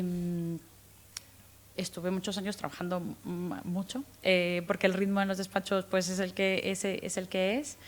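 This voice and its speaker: A woman in her thirties from Guatemala, with a faint Guatemalan accent softened by Spanish from Spain, answering interview questions as a guest lawyer with frequent restarts and fillers. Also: mid-range voice. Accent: light Guatemalan, mostly Spanish from Spain. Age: 30s. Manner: thoughtful, many restarts and fillers, lively rises when retelling.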